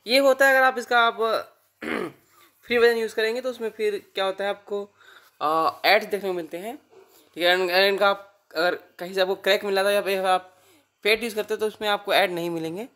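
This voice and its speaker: A man's voice: high voice, steady tone, a little fast, sharp tone. An Indian man with a sore throat, giving a casual app tutorial as the host.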